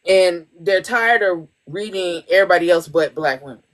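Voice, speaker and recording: A woman's voice: lowish voice, normal speed, low-quality recording. A woman hosting a casual podcast, blunt and playful in her reactions. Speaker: podcast host.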